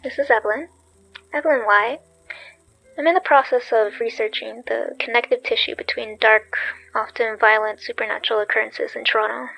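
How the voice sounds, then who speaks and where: An earnest female researcher in a horror audio drama. She speaks in a recorded-message style, a little high and noticeably creaky. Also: fairly even intonation, fairly quick, sharp tone, full character voice, rough recording. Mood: earnest, businesslike. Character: earnest female researcher of violent supernatural occurrences.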